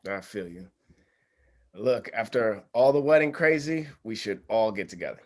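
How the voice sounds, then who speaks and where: An easygoing young groom played by a man in a casual screenplay read. His pitch is lively and mid-range. He is evasive and hesitant, always smoothing things over. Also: normal speed, lightly acted. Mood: awkward, easygoing. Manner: hesitant, placating.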